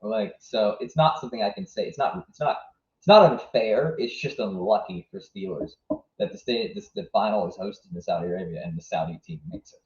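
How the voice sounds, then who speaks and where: A man's voice: lowish voice, brisk, a little mellow. A young American man from southwest Virginia reacting live to a match as a stream host, rambling casually and thinking aloud as he answers chat. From southwest Virginia, United States. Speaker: livestream host. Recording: dull, echoey room sound.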